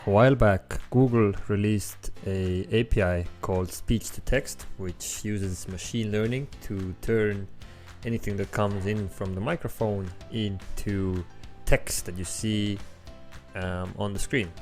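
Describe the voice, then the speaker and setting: A young man with an Estonian accent, his first language Estonian, walks through a coding tutorial in a relaxed way, with frequent ums as he thinks aloud. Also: lowish voice, unhurried. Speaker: app developer tutorial host. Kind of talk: screen-recorded coding tutorial. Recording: faint background music.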